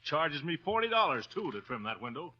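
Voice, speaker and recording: Forceful, rough-edged shopkeeper. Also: male voice, a little high, big pitch swings, brisk, heavily acted. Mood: puzzled, defensive. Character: hesitant, put-upon male American shopkeeper. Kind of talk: 1930s radio comedy acting. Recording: muffled 1930s radio recording.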